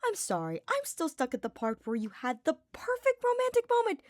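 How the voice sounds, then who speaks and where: A woman voicing a brash, teasing young male paladin in a fantasy story, loud and informal in manner. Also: very high voice, wildly swooping pitch, a little fast, dry recording, studio quality, voice pushed up, heavily acted. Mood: incredulous teasing.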